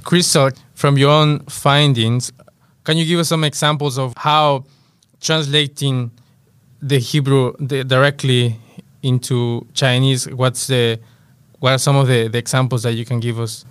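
Smooth-voiced male podcast host. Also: medium pitch, steady tone, fairly bright tone, dry recording. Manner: measured, encouraging questions, frequent backchannels. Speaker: host, interviewer. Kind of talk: interview.

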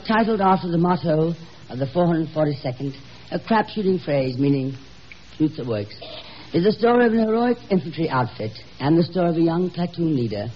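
A man narrates in formal 1950s radio style, solemn and declamatory. His voice sits a little high, and the old broadcast is muffled with some background noise. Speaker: announcer and narrator.

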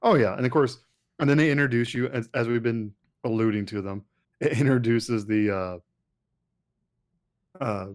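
Mid-pitched man in casual review chat. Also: moderate pace, long pauses. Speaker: podcast co-host.

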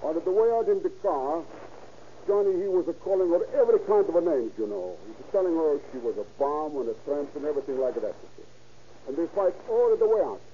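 An actor playing an excitable immigrant witness who rambles eagerly in broken English in a 1930s radio crime drama. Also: male voice, on the high side, brisk, close to an everyday voice. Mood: excited, rambling. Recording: muffled, noisy old radio recording.

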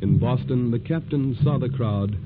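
Actor as solemn radio narrator over loud music. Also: male voice, mid-range voice, normal speed, natural voice. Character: solemn male storyteller narrator of a historical drama. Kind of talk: scripted 1940s radio drama narration. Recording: muffled vintage radio broadcast. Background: loud dramatic music.

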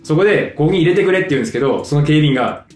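Animated, high-voiced man chatting quickly. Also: reverberant, soft background music. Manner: animated, explains with onomatopoeia. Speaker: podcast co-host and actor. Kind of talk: casual chat.

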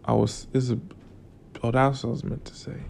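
A man's voice: medium pitch, flat delivery, brisk, gravelly, darkish tone, slight background noise. A young man from Florida with a groggy morning voice, rambling casually and profanely about work and money. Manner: loose, profane, drifts between stories and life lessons. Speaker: vlogger.

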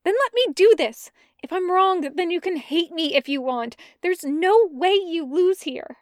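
Woman playing young woman, pleading, earnest, high-pitched, slow. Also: lively intonation, no echo, clean studio sound.

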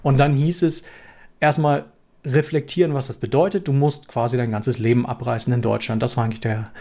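A man's voice: medium pitch, steady tone, a little fast, vocal fry, a little mellow. A middle-aged man from Germany with a standard Hanover accent, casually telling his own story to camera with lots of fillers and restarts. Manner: conversational, frequent fillers and restarts. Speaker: software developer vlogger.

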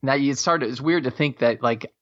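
A man in his fifties chatting casually on a podcast, rambling and halting with lots of fillers. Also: mid-range voice, fairly bright tone. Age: 50s. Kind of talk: casual chat. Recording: dull lo-fi sound.

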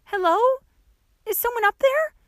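A woman's voice: very high-pitched, animated, brisk, no echo, studio quality, pitched above the performer's own voice. A woman voicing a desperate, exasperated young girl, pleading urgently and emphatically in an audiobook. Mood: hopeful calling.

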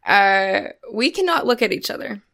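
Giggly enthusiastic woman cohost gushing in casual chat, bit of vocal fry. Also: medium pitch, very animated, normal speed, fairly bright tone. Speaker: podcast co-host.

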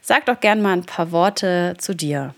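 A woman's voice: lowish voice, unhurried, creaky. A woman hosting a gardening podcast, chatting with a guest over a video call in a friendly, easygoing way. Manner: friendly, chatty, many fillers. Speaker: podcast host. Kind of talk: podcast interview.

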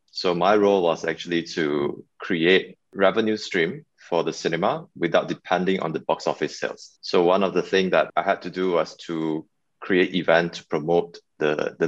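A man in his forties describes his work in a plain, matter-of-fact manner. His voice is deep and low. The audio is dull and lo-fi. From Malaysia. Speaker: event planning company owner, podcast guest. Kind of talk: casual interview answers.

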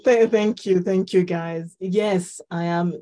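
A woman in her forties from Ethiopia talks as a podcast guest. Her voice sits fairly low and sounds warm and mellow. She reflects as she goes and often stops on soft fillers. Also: fairly even intonation, takes it slowly. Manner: thoughtful, with frequent uh and um fillers. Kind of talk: conversational podcast interview.

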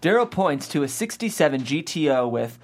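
Script narrator reading stage directions, comedy table read, really animated intonation, mid pitch. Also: fairly quick.

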